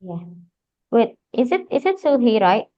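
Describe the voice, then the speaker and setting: A woman's voice: on the high side, very animated, unhurried, dark tone. Chatty Indonesian woman teaching English. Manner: casual, chatty, laughs often. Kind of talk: online class, mixing English and Indonesian. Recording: dull lo-fi online call audio.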